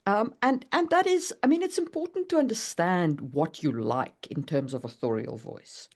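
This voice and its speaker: A woman's voice: on the low side, animated, normal speed, a little mellow. A woman co-hosting a podcast in casual conversation. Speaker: podcast co-host.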